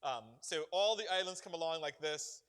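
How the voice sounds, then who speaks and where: A middle-aged man from Barbados, a bishop answering questions at a parish gathering with animated, preacherly emphasis and frequent laughter. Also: on the high side, normal speed, clear, resonant tone. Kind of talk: parish Q&A talk.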